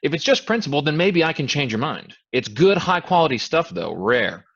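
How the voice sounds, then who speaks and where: Guy playing a wheedling casual dude in a play reading, very animated pitch, stammery, muffled sound. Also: normal speed, breathy edge, heavily acted. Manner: hesitant, stammering, persuasive. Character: eager, casual, wheedling newcomer with expensive booze.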